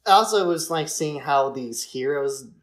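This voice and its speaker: A man's voice: a little high, animated, normal speed. A man co-hosting a podcast, talking through a film in a casual, explanatory, conversational way. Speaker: podcast host.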